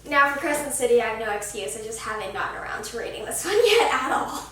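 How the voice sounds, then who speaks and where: A woman's voice: a little high, animated, fast, a bit bright. A woman chatting casually and emphatically to her viewers as a gushing book vlogger. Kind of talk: casual chatty vlog to camera. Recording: very echoey room, distant mic, faint noise.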